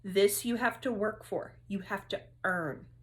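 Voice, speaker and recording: A woman's voice: medium pitch, unhurried, lightly acted. A woman voicing an upbeat female third-grade teacher in a storybook, emphatic and teasing with her class.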